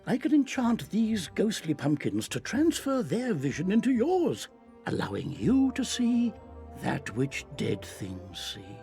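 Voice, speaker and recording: An actor playing a kindly, slightly fussy elderly wizard headmaster in a video game, creaky and dramatic. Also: male voice, high-pitched, wildly swooping pitch, a little slow, mellow, soft background music, heavily acted. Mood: grave, kindly. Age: older. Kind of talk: video game character acting.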